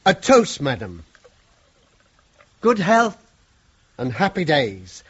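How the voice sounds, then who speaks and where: An actor playing a hesitant, deferential working-class chauffeur with a British accent in a radio play. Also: male voice, a little high, huge pitch swings, takes it slowly, a bit bright, slightly rough, exaggerated voice. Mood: polite, awkward. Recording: dull lo-fi radio recording.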